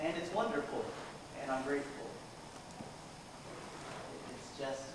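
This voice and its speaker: A man's voice: medium pitch, noisy background, natural voice. Man playing an earnest grandson, distant echoey stage recording. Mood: earnest, pleading. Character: earnest young grandson, gentle and torn. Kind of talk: amateur stage play acting. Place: theater stage, far camera.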